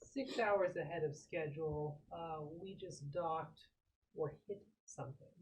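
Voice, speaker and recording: A man's voice: on the high side, a little slow, rough recording. A man playing a tabletop roleplaying game, talking casually and asking questions as a player.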